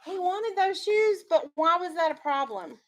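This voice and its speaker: A woman's voice: extremely high pitch, expressive, moderate pace. A woman teaching young schoolchildren in a classroom, leading a reading discussion with instructive questions. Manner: instructive, questioning, checking understanding.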